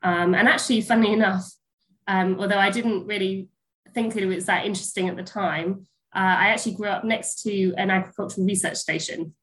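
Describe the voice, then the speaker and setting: A woman's voice: mid-range voice, fairly even intonation, brisk, fairly bright tone, rough recording. A woman, sounding a bit snuffly from a cold, answering interview questions in a casual, hesitant way with many ums and restarts. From England, near Bristol. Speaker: guest, pasture science lecturer.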